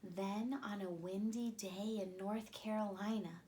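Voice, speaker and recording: A woman in her thirties reading a children's picture book aloud with deliberate, expressive phrasing. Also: medium pitch. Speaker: storytime reader.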